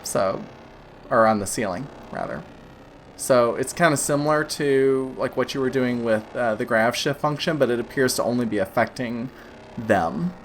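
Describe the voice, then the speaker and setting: A woman running a tabletop game as game master, narrating in a loose, halting way full of "like" and "kinda". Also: rumbling low, animated, a little hiss or hum, poor audio.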